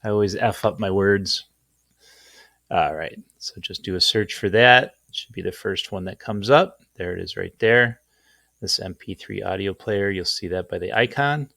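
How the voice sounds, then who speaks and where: Low gravelly guy walking thru a tutorial, casual and rambly, pretty dry close sound. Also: moderate pace, pushed and bright, dry recording. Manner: informal, self-deprecating, rambling. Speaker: tutorial host. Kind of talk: casual off-the-cuff screen-share tutorial.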